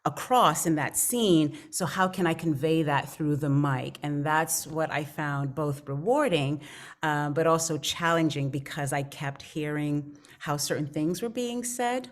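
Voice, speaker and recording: A woman's voice: low voice, unhurried. A woman actor answering conversationally as a panel guest, earnest and explanatory.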